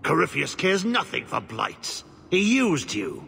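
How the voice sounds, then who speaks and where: Creaky, frantic tainted creature. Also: male voice, on the high side, huge pitch swings, sharp tone, heavily acted. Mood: haunted warning. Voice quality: creaky, strained. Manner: halting, agitated warnings in short broken phrases. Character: frantic, half-Darkspawn tainted old Grey Warden man. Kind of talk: fantasy game voice acting.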